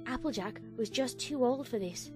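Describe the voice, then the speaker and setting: High-voiced woman narrating over music. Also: normal speed. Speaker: fan fiction narrator. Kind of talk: scripted dramatic story narration.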